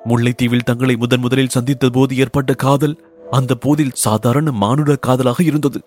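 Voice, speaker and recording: Man as lovestruck female temple dancer, reverent, humble, earnest poetic pleading, historical audiobook, mid male pitch, faint music. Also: steady tone, normal speed, a little mellow, natural voice. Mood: devoted, reverent.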